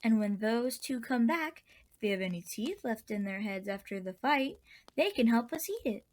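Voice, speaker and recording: An actress playing a kindly, whimsical old auntie in a radio drama, gentle and wryly indulgent. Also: female voice, fairly high pitch, lively intonation, moderate pace, close to an everyday voice. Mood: warmly amused. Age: older.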